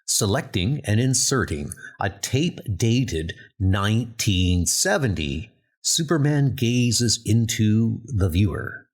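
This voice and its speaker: A man's voice: fairly low pitch, animated, slow pace. A man narrating a comic book story in a dramatic, scripted style.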